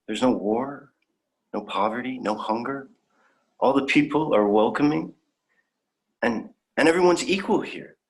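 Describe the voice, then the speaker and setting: A young man playing a naive, idealistic alien youth in a dramatic reading, earnest and prone to stammering. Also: mid-range voice. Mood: hopeful, idealistic. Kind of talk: dramatic fan fiction reading.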